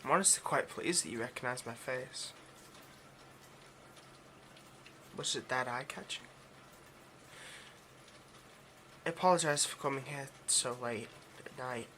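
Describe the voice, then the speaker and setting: A man's voice: mid-range voice, pushed and bright, a little hiss or hum, natural voice. A man playing a nervous, obsessive vampire lab technician in an intimate ASMR roleplay, with hesitant, stammering, overly polite delivery. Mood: polite, awkward.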